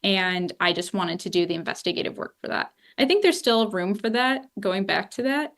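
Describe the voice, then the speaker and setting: A woman's voice: mid-range voice, animated, moderate pace, a bit bright. A woman in her twenties from Northeast Ohio, a political journalist speaking candidly and animatedly in a lecture room, with many asides like "you know". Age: 20s. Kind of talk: public talk answering questions.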